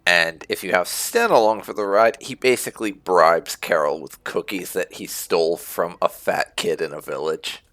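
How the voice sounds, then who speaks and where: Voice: low voice, moderate pace, hardly any pauses, fairly bright tone, dry recording. A video game streamer giving casual, rambling let's-play commentary with wry asides.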